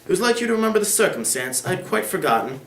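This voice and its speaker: Casual: guy doing a bored posh lady, drawly and lofty, voice pushed up high, roomy echo. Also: sing-song, rapid-fire, vocal fry, a bit bright, full character voice. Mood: languid. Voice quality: lofty, languid. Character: haughty aristocratic lady, languid and cool. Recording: echoey room.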